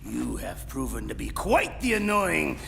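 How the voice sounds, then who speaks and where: A sneering older gunslinger villain, with heavy creak and slow, swooping, taunting lines. It is game drama acting, set in long reverb beneath loud music. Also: male voice, mid-range voice, big pitch swings, heavily acted. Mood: mocking menace. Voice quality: gravelly, creaky. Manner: menacing, taunting, drawn-out delivery.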